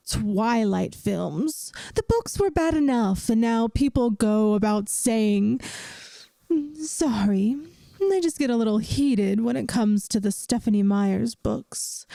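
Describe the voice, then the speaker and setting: A woman voicing a kindly, wry vampire math teacher in an ASMR audio roleplay, speaking gently and soothingly straight to the listener. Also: mid-range voice, lively intonation, slow, vocal fry, no room sound. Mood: mildly exasperated.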